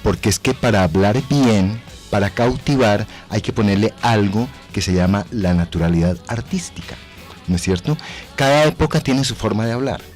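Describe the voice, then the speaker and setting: Low-voiced older man explaining thoughtfully. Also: moderate pace, darkish tone. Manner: didactic, explanatory, seeks agreement with tag questions. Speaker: guest voice actor. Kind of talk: thoughtful radio interview talk. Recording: music behind the talk. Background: music.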